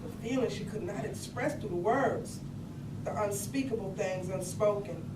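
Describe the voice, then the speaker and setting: Woman as bluesy storytelling sister, poetic stage delivery, mid-pitched voice, earthy, very noisy distant recording. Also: normal speed, close to an everyday voice. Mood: sorrowful. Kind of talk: staged reading of a poetic play. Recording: distant echoey room, loud background noise.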